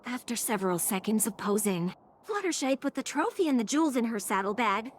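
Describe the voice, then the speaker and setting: A woman's voice: a little high, big pitch swings, fairly quick, slight background noise. A woman's synthetic text-to-speech voice reading scripted third-person story narration.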